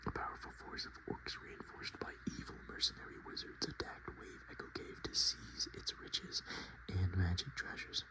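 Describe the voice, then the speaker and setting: A man hosting softspoken, whispered ASMR in a murmured, unhurried, casual and self-deprecating way. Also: poor audio. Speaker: ASMR video host.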